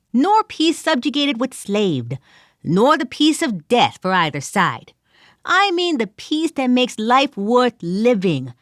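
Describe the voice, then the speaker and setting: A woman's voice: a little high, no echo, clean studio sound, voice pushed up, exaggerated voice. A woman voicing an earnest adventurer who gives a grand, formal plea for peace.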